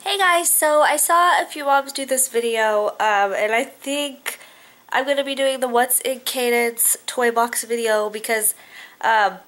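A woman's voice: a little high, measured pace, fairly bright tone. A 21-year-old woman, a stay-at-home mom, chatting casually in a home vlog with a stuffed-up nose from a cold, rambling with lots of "um" and "like". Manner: chatty, rambling, heavy on fillers like um and like. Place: living room at home, indoors. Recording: noisy home camera audio.

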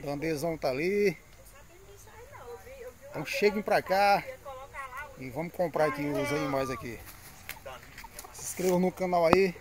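A man hosting a casual vlog at an outdoor animal market, talking straight to his viewers. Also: a little high. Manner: chatty, addresses viewers directly. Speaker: channel host. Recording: noisy outdoor phone recording.

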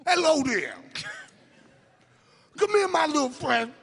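A man voicing a rambling, crude comic Jedi mentor in a slow streetwise drawl at a live comedy table read. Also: very high voice, sing-song, gravelly, a bit bright, heavily acted. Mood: kindly, rambling. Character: rambling, crude comic Obi-Wan in a celebrity impression. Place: convention panel stage with audience. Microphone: table microphone.

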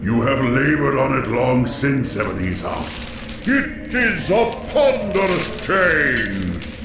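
A man's voice: medium pitch, sing-song. An actor in an audio drama playing the mournful, menacing ghost of a dead Victorian businessman, solemn and theatrical. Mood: thunderous. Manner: slow, solemn, drawn-out theatrical delivery. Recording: echoing voice over noisy eerie ambience.

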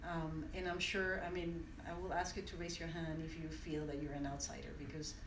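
A woman in her forties from Venezuela, whose first language is French, gives a reflective personal talk to an audience, often pausing and restarting. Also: deep voice, level tone, background noise. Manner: reflective, with many restarts and hesitations. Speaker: conference speaker. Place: university classroom, indoors. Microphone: standing mic, recorded by a phone from the audience. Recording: echoey room from far off.

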